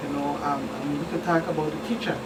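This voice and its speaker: A woman's voice: deep voice, steady tone, fast. A woman with a Virgin Islands Caribbean accent speaks emphatically as a guest expert in a talk show interview, repeating phrases as she recounts cases. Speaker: police commander, guest expert. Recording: distant mic, noisy room hum.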